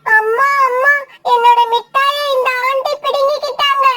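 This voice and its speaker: A woman's voice: very high voice, steady tone, normal speed. A woman voicing a small, plaintive child sparrow in a children's cartoon story. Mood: upset, complaining.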